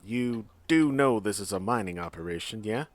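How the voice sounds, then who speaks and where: A man's voice: lowish voice, lively intonation, normal speed, dry recording, natural voice. A man playing a skeptical but jovial Old West mine boss in an audio drama, folksy and a little condescending. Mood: skeptical, amused.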